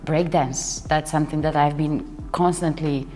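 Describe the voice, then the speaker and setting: A young woman, a dancer and choreographer, chatting as an interview guest and often pausing to search for words. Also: low-pitched, fairly even intonation, darkish tone. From Italy. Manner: searching for words, restarts sentences, laughs often. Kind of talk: casual interview talk. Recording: hall reverb with faint music behind.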